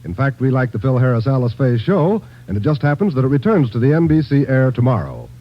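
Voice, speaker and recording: A man's voice: mid-range voice, sing-song, quick pace, dull audio. A man reading scripted announcements in 1940s radio style as a network announcer. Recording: band-limited old radio recording with music.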